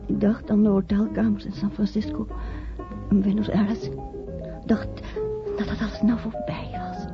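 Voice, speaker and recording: A woman's voice: mid-range voice, flat delivery, normal speed, lightly acted. An actress playing a lovestruck, yearning woman of twenty-seven in a romantic radio play. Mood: dreamy, yearning. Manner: yearning, rapt. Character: dreamy, lovestruck 27-year-old variety dancer. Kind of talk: romantic radio drama acting. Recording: dull old radio recording, music behind. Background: loud music.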